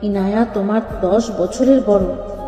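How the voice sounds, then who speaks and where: Woman acting a mellow, disapproving aunt. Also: mid-range voice, measured pace, lightly acted. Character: disapproving middle-aged aunt or mother of family. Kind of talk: audio story drama. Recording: echoey reverberant hall, faint music underneath.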